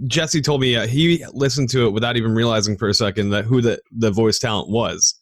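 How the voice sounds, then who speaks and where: A man's voice: medium pitch, brisk, dry recording, studio quality. A man co-hosting a podcast in casual, agreeable chat with lots of short interjections. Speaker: podcast host.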